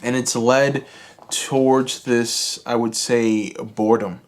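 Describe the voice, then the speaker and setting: A Cuban American man giving a calm, reassuring tarot reading, speaking slowly and soothingly to his viewers. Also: medium pitch. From United States. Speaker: tarot reader. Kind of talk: pick-a-card tarot reading.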